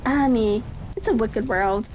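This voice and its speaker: Woman reading as a high-pitched, inquisitive Victorian detective man. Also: unhurried, a little mellow, slight background noise, lightly acted. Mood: intent. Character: brisk, keenly observant male Victorian detective.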